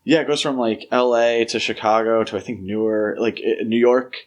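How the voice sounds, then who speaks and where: A man's voice: medium pitch, fairly even intonation, normal speed. A man co-hosting a podcast in a casual discussion style, speaking informally and peppering his sentences with "like". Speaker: podcast co-host.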